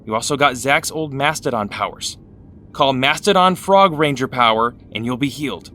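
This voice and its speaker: Man acting an animated, eager young hero. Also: mid-range voice, moderate pace, slight background noise. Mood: reassuring. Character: eager, loyal young male hero, blunt and upbeat. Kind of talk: audio drama acting.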